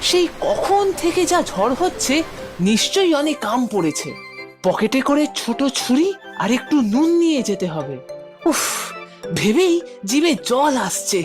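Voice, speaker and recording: Cartoon schoolboy, played by a woman: high, lively, wheedling and excitable, over prominent music. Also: unhurried. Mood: eager, scheming. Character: mischievous, eager young schoolboy who loves mangoes. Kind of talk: animated cartoon voice acting. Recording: music behind the voice.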